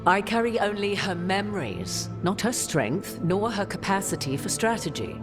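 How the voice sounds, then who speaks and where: A woman's voice: medium pitch, very animated, natural voice. Woman playing a grave, formal sorceress, music behind. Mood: solemn. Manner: formal, archaic, measured phrasing. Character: grave, cryptic sorceress ally with ancient memories. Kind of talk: video game cutscene acting.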